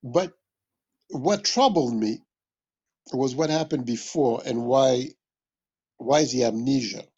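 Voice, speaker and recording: A man from West Africa speaks in a mid-pitched, husky voice. He explains his points reflectively and deliberately, like a scholar in an interview, repeating phrases to stress them. The recording is a little dull and lo-fi. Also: darkish tone. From West Africa (Mali). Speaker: interviewed jazz musician and music history teacher.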